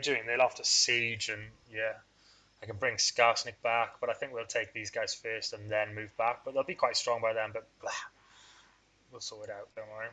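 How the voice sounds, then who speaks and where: A man narrating his strategy game session in a loose, rambling commentary, talking his moves through out loud. His voice is bright and forward, sitting at an ordinary pitch, and he often pokes fun at himself. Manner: rambling, thinks aloud, self-deprecating. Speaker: let's play host. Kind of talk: casual gameplay commentary.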